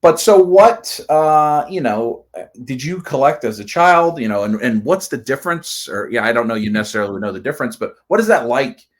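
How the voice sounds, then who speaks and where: Male host, 40s, mid-pitched, chatty and enthusiastic, long winding questions. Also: animated, a little fast, hardly any pauses. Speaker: live stream host. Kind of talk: casual interview chat.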